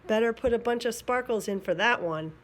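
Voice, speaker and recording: A woman voicing a gentle, kind old grandfather cow in a storybook read-aloud. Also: a little high, a little fast, pitched above the performer's own voice, lightly acted.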